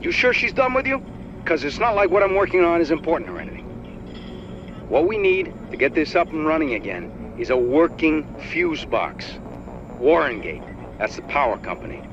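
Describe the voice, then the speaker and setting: Sarcastic, animated male engineer. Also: on the high side, moderate pace, lo-fi sound, background noise. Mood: annoyed, sarcastic. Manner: sarcastic, gruff, colloquial. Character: grumbling, sarcastic male engineer guiding an agent. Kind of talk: video game mission dialogue. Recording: music behind the voice.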